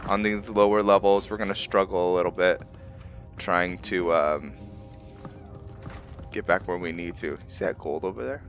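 A man giving casual video game commentary as a roleplay host, halting as he thinks aloud. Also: low-pitched, fairly even intonation, lo-fi sound. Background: game music.

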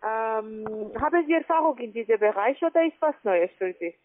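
A woman with a fairly high voice speaks as a non-native telephone sales agent. Her pitch is formal and halting, heard through a narrow, tinny phone line. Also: lively intonation. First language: not German. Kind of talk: sales pitch on a cold call.

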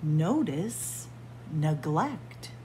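A woman's voice: low-pitched, big pitch swings, very slow, mellow, a little hiss or hum. A middle-aged woman librarian reading a picture book aloud to children, word by word, with teacherly emphasis, recorded close on a webcam in a small library room. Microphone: webcam or phone close up at arm's length.

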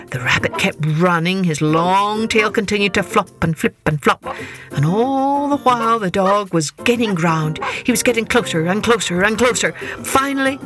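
A woman telling a children's story with dramatic, emphatic expression, as a podcast host. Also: medium pitch.

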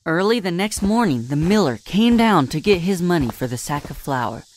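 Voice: mid-range voice, sing-song, moderate pace. A narrator reading a scripted storybook tale in an audio drama.

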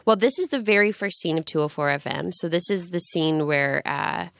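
A woman's voice: on the low side, vocal fry, a bit bright, dry recording. Chatty Canadian woman in a dry studio. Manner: chatty, upbeat, quick to laugh. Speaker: theatre producer, interview guest. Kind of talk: conversational radio interview. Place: radio studio.

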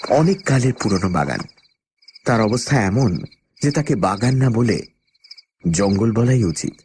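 A man playing a proud, plucky traveller who narrates his own ghost story with expressive, deliberate delivery. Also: fairly low pitch, slight background noise. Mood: suspenseful. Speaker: first-person narrator. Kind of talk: dramatic audio story narration.

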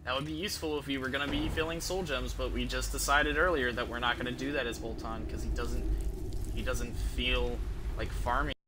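A man's voice: mid-range voice, fairly even intonation, brisk, noisy background. A man in his thirties doing casual, profane, slangy live commentary while he plays a game. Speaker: game streamer. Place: small room at home. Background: game music.

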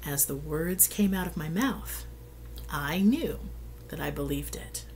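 Lady doing a kids storybook read-aloud, takes her time, hushed bits, faint room noise. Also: sits low, expressive. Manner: measured, dramatic pauses, hushed breathy emphasis. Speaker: storyteller reading a picture book aloud. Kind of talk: expressive read-aloud for children. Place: indoor room at home.

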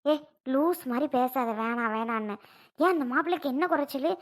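A woman's voice: sits high, fairly quick, lightly acted. A woman with a southern Tamil Nadu accent playing a worried, practical friend of a bride, coaxing and admonishing in a family drama. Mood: firm coaxing. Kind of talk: village family drama acting.

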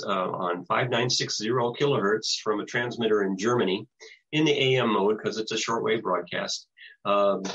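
An older American man giving an informal presentation and answering questions in a halting, hesitant way over a video call. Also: fairly low pitch, fairly bright tone, breathy edge. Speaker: presenter, radio show producer. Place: small home office with bookshelves, indoors. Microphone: computer mic on a video call. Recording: dull lo-fi video call audio.

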